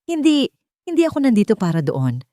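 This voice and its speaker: A woman's voice: fairly high pitch, huge pitch swings, fairly quick, mellow, dry recording, voice pushed up. Woman voicing a desperate, pleading broken man.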